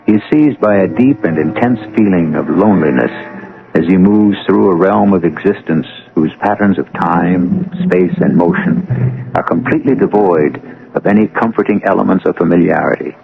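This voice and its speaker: A man hosting a radio mystery drama, narrating in a grave, deliberate, theatrical way. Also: deep voice, creaky, narrow, tinny audio. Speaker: host and narrator. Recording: band-limited old radio broadcast, music behind. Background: music.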